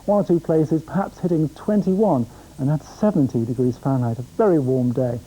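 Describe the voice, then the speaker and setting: A man's voice: a little high, brisk, mellow, low-quality recording. A British man presenting a television weather forecast in a conversational style.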